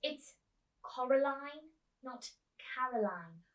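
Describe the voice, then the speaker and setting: A woman voicing a young girl who is firm, insistent and quietly stubborn, in a storybook reading. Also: high-pitched, animated, slow pace, voice pushed up. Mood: firm, corrective. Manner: insistent, correcting. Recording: echoey room, distant mic.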